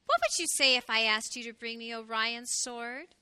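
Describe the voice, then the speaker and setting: A gentle mother hedgehog from a children's storybook, voiced by a woman, tenderly putting questions to her little one. She speaks softly and evenly, in a high feminine register with a close, dry sound. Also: clear, resonant tone, no echo. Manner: soft, tender, measured questioning. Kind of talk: children's storybook read aloud.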